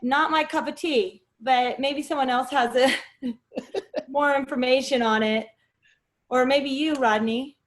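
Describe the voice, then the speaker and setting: A woman's voice: high-pitched, steady tone, a little slow. A woman panelist speaking in a tentative, easygoing way during a casual discussion.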